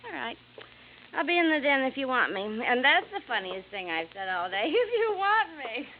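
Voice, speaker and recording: High-voiced, bitter young wife. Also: female voice, sing-song, moderate pace. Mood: bitter, resentful. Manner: sardonic, brazen. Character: bitter, sarcastic young wife, drinking and resentful. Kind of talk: 1950s American radio drama acting. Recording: tinny vintage radio with faint noise.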